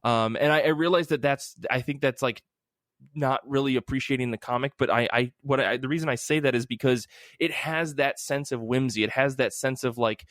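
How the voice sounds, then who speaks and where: A man's voice: mid-range voice, dry recording. Effusive man chatting on a dry-sounding podcast. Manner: effusive, emphatic, casually profane. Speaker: podcast host. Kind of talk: casual chat about comic books.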